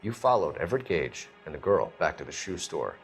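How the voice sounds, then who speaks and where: An actor playing an earnest, hard-edged young American police detective in a video game, formal and pressing. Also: male voice, rumbling low, very animated, fairly quick, gravelly, faint music behind, exaggerated voice, poor audio. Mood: firm, accusing. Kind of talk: video game cutscene acting.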